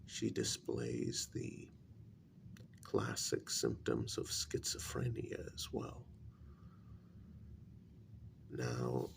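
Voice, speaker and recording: A man in a soft-spoken ASMR role-play as a calm, grave psychologist, talking in a measured, halting, breathy half-whisper with gravel in it. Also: fairly low pitch, slight background noise. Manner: deliberate, measured, halting phrases. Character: calm, grave male psychologist and spiritualist counselling a parent.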